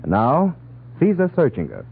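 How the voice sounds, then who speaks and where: A man's voice: fairly high pitch, wildly swooping pitch, normal speed, vocal fry, husky. An American-accented man reading a scripted programme introduction as a 1940s radio announcer. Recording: tinny, band-limited 1940s radio recording.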